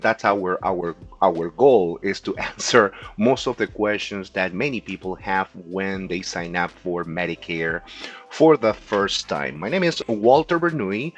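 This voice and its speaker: A man from Peru with a Peruvian Spanish accent, Spanish his first language, giving a chatty recorded lesson and often ending sentences with "okay?". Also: on the low side. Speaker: insurance agent teaching a class. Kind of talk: casual explanatory video lesson.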